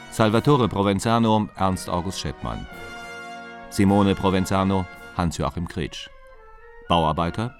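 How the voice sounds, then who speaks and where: A man with a very low voice delivers formal scripted credits. His reading is measured and list-like, with clear brass music behind him. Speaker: closing credits announcer. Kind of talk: formal scripted broadcast announcement.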